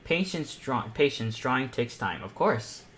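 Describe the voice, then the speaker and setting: A man's voice: mid-range voice, sing-song, a little slow, fairly bright tone. A young man hosting an online art panel, chatting casually and thinking aloud as he draws. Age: teens or young adult. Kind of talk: casual chat while drawing. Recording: clear background noise, room ambience.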